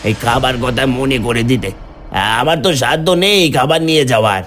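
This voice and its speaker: Man as protective village ghost, medium pitch. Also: normal speed, a bit bright, slight background noise, natural voice. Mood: tender reassurance. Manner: earnest, cautioning, reassuring. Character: protective male village ghost, affectionate elder brother figure.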